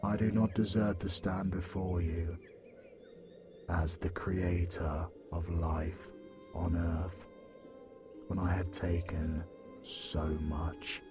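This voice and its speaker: A man acts as an ancient creator god weary of his own power. His voice is very deep and unhurried, with music behind it. He speaks in a grave, remorseful fantasy-drama manner. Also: level tone. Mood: sorrowful, solemn. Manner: solemn, slow, grave. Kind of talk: fantasy audio drama acting.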